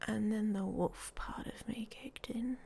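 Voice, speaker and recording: Woman doing a young werewolf girl for asmr roleplay, breathy n whispery, bit gravelly, really slow, guarded and blunt. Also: a little high, fairly even intonation, darkish tone. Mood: quiet, confiding. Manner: slow, hesitant, dryly blunt confiding. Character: guarded, hot-tempered young she-wolf werewolf, confiding softly. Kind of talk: ASMR roleplay audio story, intimate monologue. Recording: faint background noise.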